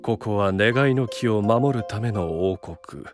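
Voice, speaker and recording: A man's voice: lowish voice, measured pace, soft background music, natural voice. Man acting stern guardian of a secret village. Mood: solemn, instructing. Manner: formal, stern, weighty. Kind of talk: formal fantasy voice drama acting.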